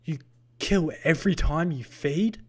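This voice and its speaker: Man playing a defiant, forceful young hero. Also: on the low side, moderate pace, lightly acted. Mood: indignant, shocked. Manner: snarling, forceful, challenging. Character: defiant young male vampire's assistant, brave and indignant.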